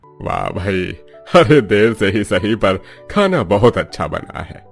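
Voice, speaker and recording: A man's voice: lowish voice, sing-song, takes it slowly, soft background music, heavily acted. An actor voicing a pleased man of the family in a Hindi cartoon story.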